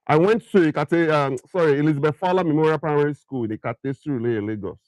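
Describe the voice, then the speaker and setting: Middle-aged Nigerian man hosting a chatty live Q&A, fairly high voice, dry close sound. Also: quick pace, darkish tone, dry recording, poor audio. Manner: chatty, fires questions at viewers, slips into pidgin. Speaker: livestream host. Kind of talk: casual livestream chat with viewers.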